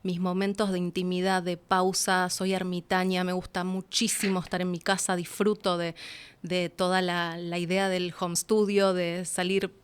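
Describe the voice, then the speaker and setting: Young Argentine woman, conversational and expressive. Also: fairly low pitch, normal speed, clear, resonant tone. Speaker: interview guest, voice actress and announcer. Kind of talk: casual conversational interview.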